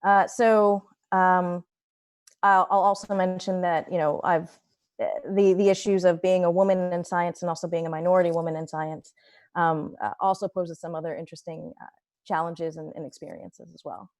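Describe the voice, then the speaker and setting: Woman, somewhat low pitch, thoughtful, hesitant, fillers, dry sound, video call. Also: steady tone, moderate pace, a little mellow, no echo. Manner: thoughtful with many fillers and restarts. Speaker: government scientist and panelist. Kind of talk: reflective panel remarks.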